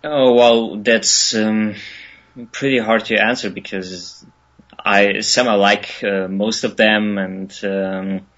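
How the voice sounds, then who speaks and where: A man in his twenties with a German accent, answering interview questions hesitantly, with many ums and pauses. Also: fairly low pitch, steady tone, a bit bright. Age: 20s. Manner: hesitant, many ums and uhs, laughs often. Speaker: interview guest, musician. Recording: lo-fi online radio call.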